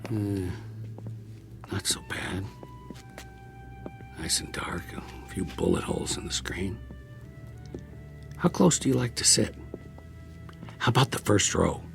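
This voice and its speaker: A weary American soldier in a vintage television drama, a man with a gravelly edge to his voice. He rambles in wry, colloquial phrases, as if to a companion who won't answer. Faint music sits behind him on the old soundtrack. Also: low-pitched, expressive, slow, long pauses, whispered, exaggerated voice. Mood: wistful, wry. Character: weary, talkative, wry male soldier after a war. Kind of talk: mid-century American television drama acting.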